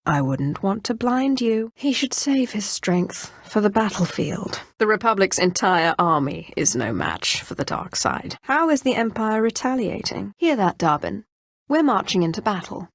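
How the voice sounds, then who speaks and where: A ruthless, expressive Sith inquisitor. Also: female voice, medium pitch, measured pace, dry recording, studio quality. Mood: haughty, commanding. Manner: commanding, menacing, mocking. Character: ruthless, haughty female Sith inquisitor, cruel and sardonic. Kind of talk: scripted video game character lines.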